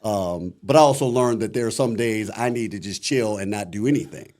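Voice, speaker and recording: A middle-aged man with a deep voice and a Midwestern American accent, chatting reflectively as a voice actor guest in an interview. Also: brisk, darkish tone. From Akron, Ohio, USA. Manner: rambling, many "you know" fillers, reflective. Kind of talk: casual interview talk.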